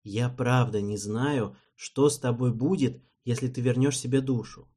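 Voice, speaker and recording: A man voicing a calm, patient young male king who speaks quietly and slowly. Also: medium pitch, breathy edge. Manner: quiet, soothing, measured.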